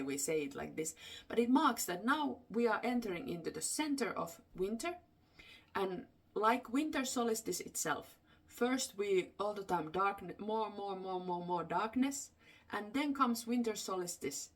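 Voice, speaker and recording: Finnish woman telling old folk tales off the cuff, lowish voice, kinda halting, mic sounds far away. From Finland. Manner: halting, searching for words. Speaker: storyteller. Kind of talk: unscripted folklore storytelling. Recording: distant microphone.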